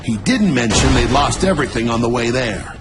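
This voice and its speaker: A man's voice: medium pitch, lively intonation, fairly quick, background noise, natural voice. A man playing a sardonic, weary and brash army lieutenant in a video game cutscene, with a dry, wry delivery. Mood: dry, grim. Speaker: soldier, squad lieutenant. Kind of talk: video game cutscene acting, narrated testimony. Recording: loud dramatic music and battle sounds.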